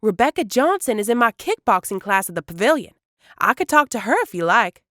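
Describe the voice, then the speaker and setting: A woman's voice: mid-range voice, very animated, a little fast, pushed and bright, no echo, clean studio sound. A woman playing an eager, scheming small-town dreamer in a cartoon, chatty and enthusiastic. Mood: persuasive. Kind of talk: animated comedy dialogue.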